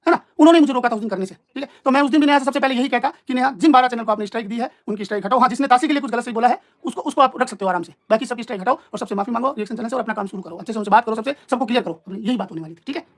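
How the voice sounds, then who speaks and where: A man's voice: very high-pitched, rapid-fire, hardly any pauses. A man in an informal live-stream argument, explaining himself in an emphatic, repetitive, combative way.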